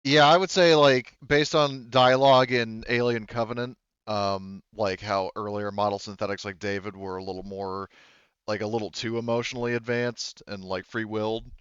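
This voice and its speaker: A man with a low, smooth voice runs a tabletop game in a casual way. He often rephrases as he goes. The close recording is a little dull. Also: fairly even intonation, moderate pace, fairly bright tone, no echo. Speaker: game master. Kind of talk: casual game-running talk.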